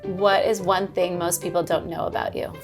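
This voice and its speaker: A woman interviewing a guest for a magazine, asking brisk, prepared questions and adding short encouraging remarks. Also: on the low side, fairly even intonation. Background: music.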